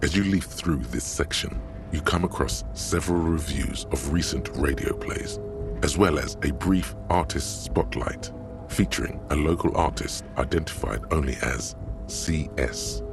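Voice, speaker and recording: An actor playing the dry, erudite inner voice of a detective's mind in a video game, measured and drily ironic, with a gravelly tone. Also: male voice. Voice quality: very deep, gravelly. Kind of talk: scripted narration of a video game. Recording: music behind. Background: game music.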